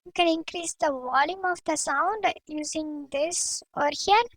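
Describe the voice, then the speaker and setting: A man voicing a girlish gal through a real-time pitch-raising voice changer. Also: measured pace, fairly bright tone, no echo, far from the mic, heavily acted. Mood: demonstrating.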